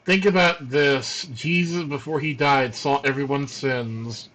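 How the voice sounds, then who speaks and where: A man's voice: medium pitch, a little slow, poor audio. A young man hosting a podcast in a casual, joking chat. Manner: joking, animated. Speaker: podcast host.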